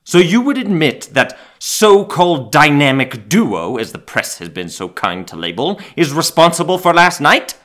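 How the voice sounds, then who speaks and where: A man playing a pompous, sharp-voiced city mayor. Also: on the high side, sing-song, normal speed, hardly any pauses. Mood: scornful. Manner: lecturing, domineering. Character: pompous, combative male big-city mayor. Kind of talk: fan-made audio drama acting.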